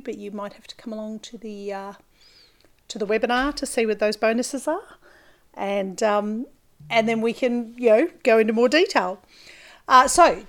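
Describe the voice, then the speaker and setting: An Australian woman hosting her farming podcast, chatting casually in a hoarse, gravelly voice, rambling a little with lots of fillers. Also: mid-range voice. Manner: chatty and rambling, with many fillers and self-corrections. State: hoarse, gravelly from a chest infection. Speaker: podcast host and farmer. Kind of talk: casual solo podcast chat.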